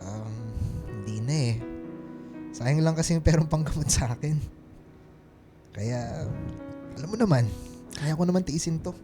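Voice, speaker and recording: A man playing a heartbroken, self-sacrificing husband and father in a radio drama, with a Filipino accent and a halting, emotional delivery. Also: fairly high pitch, close to an everyday voice. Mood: sad, resigned. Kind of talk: Tagalog radio drama acting. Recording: music under the voice.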